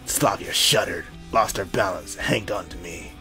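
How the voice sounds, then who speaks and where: A man's voice: low voice, unhurried, sharp tone. A man reading a visual novel aloud in a jokey way, with casual asides and the odd slip. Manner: jokey, slips and corrects himself while reading. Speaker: gaming video host. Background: background music.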